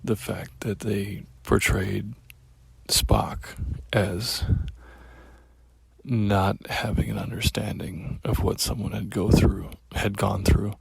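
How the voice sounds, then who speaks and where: A man with a very deep voice calling in, speaking slowly and haltingly with heartfelt care. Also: steady tone, creaky, slight background noise, rough recording. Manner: slow, measured, halting. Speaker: caller. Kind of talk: heartfelt call-in comment.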